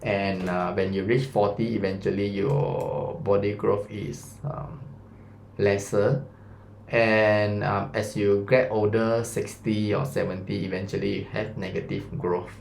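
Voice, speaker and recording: Malaysian guy in his 30s teaching science, lowish voice, roomy echo, lots of lah. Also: level tone, unhurried, gravelly, darkish tone, slight background noise. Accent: Malaysian English. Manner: chatty, asks students questions, fillers like lah and mah. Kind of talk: classroom revision lesson.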